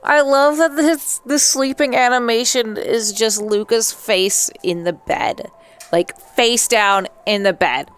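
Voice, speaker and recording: A woman's voice: on the high side, slow pace, slight background noise. A woman hosting a let's play with casual, playful commentary, chatting and reacting aloud.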